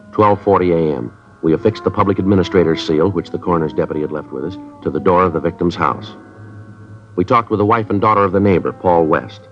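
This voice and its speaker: An actor playing a terse police sergeant who questions witnesses and narrates the case in a clipped, matter-of-fact way, in a 1950s radio drama. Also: male voice, sits low. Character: terse police sergeant, middle-aged man, dry and businesslike. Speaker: detective and narrator. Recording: dull lo-fi old radio recording.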